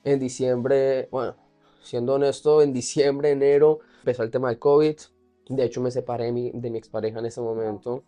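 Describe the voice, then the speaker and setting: Colombian man, mellow voice, casual storyteller. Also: medium pitch, fairly even intonation, moderate pace, soft background music. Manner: candid, often laughing as he talks. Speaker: interview guest, entrepreneur.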